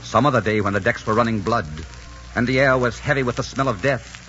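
An actor playing a suave, arrogant pirate leader in a 1940s radio drama. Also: male voice, mid-range voice, a little fast, a bit bright, natural voice. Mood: cool, dismissive. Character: swaggering, cynical pirate leader posing as respectable gentleman. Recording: muffled 1940s radio recording with music.

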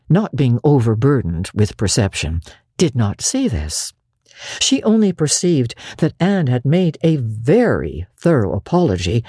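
A woman narrating a classic novel as an expressive, dramatic audiobook reader. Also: very deep voice, husky, no room sound, clean studio sound.

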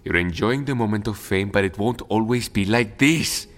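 A man's voice: fairly low pitch, normal speed, close to an everyday voice. A man playing a proud, exasperated revolutionary leader in an audio drama, with an emphatic, melodramatic manner. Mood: earnest, pleading. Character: indignant, proud, pleading male revolutionary leader.

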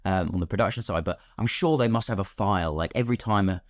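A man with a low voice talks as a guest in a casual interview. He explains things in an animated way, slipping into imagined quotes. The sound is dry, with almost no room in it. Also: fast talker, no echo. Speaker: guest, radio drama actor.

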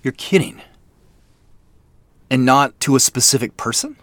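A man's voice: mid-range voice, expressive, unhurried, a bit bright, dry recording. A man playing a casual, probing detective in a naturalistic audio drama, dry and inquisitive. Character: dry, inquisitive male detective working a case.

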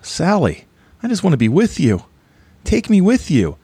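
A man's voice: high-pitched, huge pitch swings, normal speed, warm tone, slightly rough, no room sound, heavily acted. A man playing a harmless, naive, lovestruck young guy in an audio play, earnest and eager to please.

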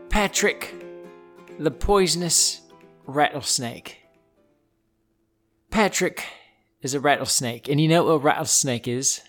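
Playful man telling animal stories. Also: on the high side. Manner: playful, theatrical put-on accent. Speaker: host of a children's animal podcast. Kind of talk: informal storytelling narration for listeners. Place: indoors beside a wood stove.

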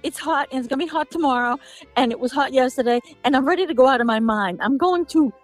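High-voiced older woman, comically grumbling. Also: fast talker, soft background music. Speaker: regular call-in guest, the host's mother. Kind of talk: casual phone chat. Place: outdoors on a deck, on a cell phone. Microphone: cell phone call.

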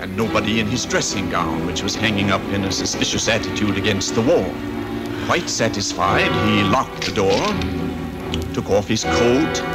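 A man acting as the narrator of an old-time radio drama, telling a gloomy tale in a measured way. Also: lowish voice, lightly acted. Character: male storyteller of a gloomy ghost tale. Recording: old radio broadcast under music.

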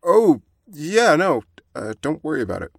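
An actor playing an earnest, anxious investigator who follows protocol in an audio drama, sometimes hesitant and conciliatory. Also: male voice, on the high side, big pitch swings, normal speed, vocal fry, a little hiss or hum. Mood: gentle, hesitant.

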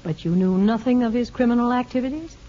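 An actress playing a brisk, firm but kindly women's prison official in a measured 1950s radio drama style. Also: female voice, a little high, mellow, lightly acted. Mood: stern, businesslike. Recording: muffled old radio broadcast with music.

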